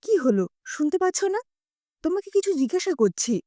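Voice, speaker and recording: A woman's voice: very high voice, big pitch swings, moderate pace, mellow, no room sound, clean studio sound, voice pushed up. A woman voicing an anxious, tender wife who questions her husband insistently in a dramatised audio story. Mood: upset, demanding.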